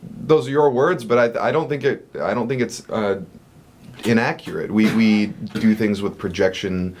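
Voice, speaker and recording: A young man, the director of a performance troupe, answering radio interview questions earnestly with many pauses and fillers. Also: on the low side, a little hiss or hum.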